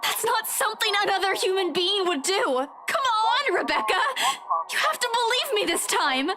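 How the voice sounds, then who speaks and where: A woman's voice: extremely high pitch, animated, normal speed, very noisy. An actress playing a frightened, grieving young woman in a visual novel game, pleading and insistent. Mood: desperate, pleading. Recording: loud game music behind. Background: music.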